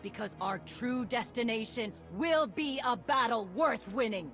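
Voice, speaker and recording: Female warrior commander, battle-hardened and rousing, game drama delivery over clear background music. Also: on the high side, lively intonation, a little slow, fairly bright tone. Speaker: military leader. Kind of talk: dramatic video game acting.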